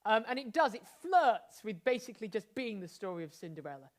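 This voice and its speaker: A woman hosting and narrating an improvised pantomime with playful, excited enthusiasm. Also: fairly high pitch, a little fast. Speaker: host directing an improvised pantomime.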